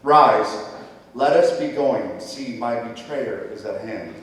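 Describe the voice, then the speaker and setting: A man playing Jesus as a solemn teacher in a dramatic church scripture reading, speaking in a measured, declamatory way. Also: mid-range voice, lightly acted. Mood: grave, urging. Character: Jesus, solemn male teacher foretelling his betrayal. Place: church during a service. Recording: very echoey church hall, distant microphone.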